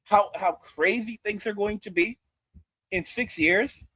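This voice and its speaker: Man, 40s, high husky voice, stammering mocking rant. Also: unhurried, pushed and bright, dry recording. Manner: repetitive, stammering, mocking asides. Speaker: commentator reacting to a clip. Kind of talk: ranting commentary.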